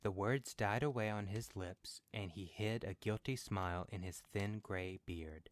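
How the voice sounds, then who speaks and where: Low-voiced man narrating a story. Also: measured pace, no room sound. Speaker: podcast story reader. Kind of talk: scripted literary narration.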